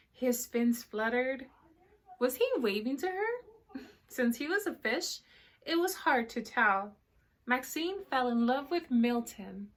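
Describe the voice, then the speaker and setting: A woman reading a picture book aloud to children in an animated way, pausing to explain words and ask questions. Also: high voice. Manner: animated, checks in with listeners, explains words simply. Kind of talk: expressive read-aloud with explanatory asides for children.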